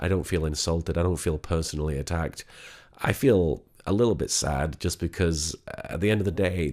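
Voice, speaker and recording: A man talking quietly and haltingly in a candid vlog, sick, with a gravelly voice and many restarts. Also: low-pitched. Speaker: vlogger.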